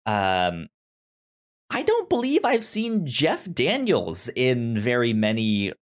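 Guy podcast host, talks slow but super animated pitch, stammers n repeats syllables, chatty. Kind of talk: casual pop culture podcast chat.

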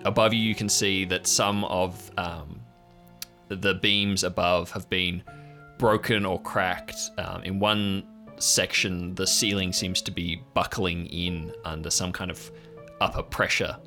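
Male game master, measured improvised narration, low and crisp-edged, light music underneath. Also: fairly even intonation, sharp tone. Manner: halting, measured descriptive delivery. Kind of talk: improvised scene narration for audio drama.

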